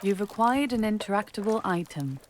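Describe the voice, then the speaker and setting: A woman's voice: mid-range voice, lively intonation, a little fast, slight background noise, natural voice. A woman playing a matter-of-fact tutorial guide in a video game, giving concise scripted instructions. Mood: instructive.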